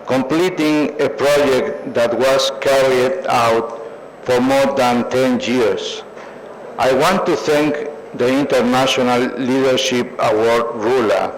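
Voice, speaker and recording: An older man with a Mexican accent delivers a prepared acceptance speech. He is formal and takes his time over each phrase, in a middle-pitched voice. Faint event noise sits behind him. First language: Spanish. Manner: formal, measured. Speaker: award recipient, plastic surgeon. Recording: noisy live event recording.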